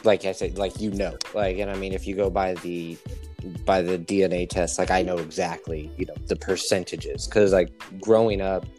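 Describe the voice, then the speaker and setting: Very low-voiced rambling guest man. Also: fairly even intonation, normal speed, a little mellow, faint music behind. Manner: rambling, hesitant, many "like" and "you know". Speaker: podcast guest. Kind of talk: casual storytelling.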